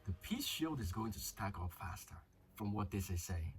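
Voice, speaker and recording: A young man talks his way through a mobile fighting game, chatty and a little crude as he thinks aloud. His voice sits slightly low and carries a touch of flu. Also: expressive, moderate pace, slight background noise. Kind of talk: casual gameplay commentary.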